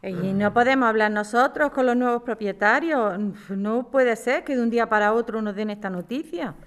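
A woman's voice: on the high side, moderate pace, natural voice. A woman from playing a caring, practical neighbour in an audio drama, direct, informal and protective. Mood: concerned.

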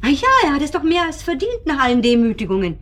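An actress playing a sympathetic, outspoken club member in a radio drama. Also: female voice, high-pitched, very animated, fast talker, slight background noise. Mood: insistent.

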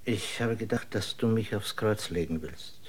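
A man playing a grave, cautious dissident scientist in a German radio drama, husky and measured. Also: on the low side. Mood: grave, confiding. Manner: measured, deliberate, serious.

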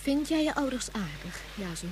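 A woman's voice: high-pitched, big pitch swings, moderate pace, a little hiss or hum. An actress playing a patient, coaxing woman who looks after children in a radio drama, soothing in manner. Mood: gentle, probing. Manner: gentle, questioning, soothing.

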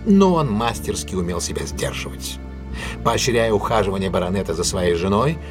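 An actor playing a shrewd detective in an audio drama, laying out a case in a measured, articulate way with deliberate pauses. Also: male voice, mid-range voice, close to an everyday voice. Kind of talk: audio drama acting, expository monologue.

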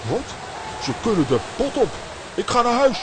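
A man playing an excitable, grumbling, bumbling comic hero in a children's audio play, theatrical and blustering. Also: very high-pitched, moderate pace, noisy background. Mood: blustering, sheepish.

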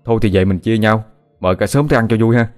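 A man voicing a stubborn, quick-tempered young villager, speaking forcefully. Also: lowish voice, faint music behind, natural voice. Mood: reasoning. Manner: forceful, rapid. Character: stubborn young man, fair-minded but quick to hit.

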